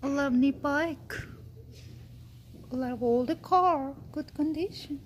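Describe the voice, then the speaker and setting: A woman talks slowly through a casual walkthrough in a high voice. She drops short, simple exclamations as she goes, recorded on a handheld phone indoors with a faint hiss underneath. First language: Korean. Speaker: travel vlogger. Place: vehicle museum indoors.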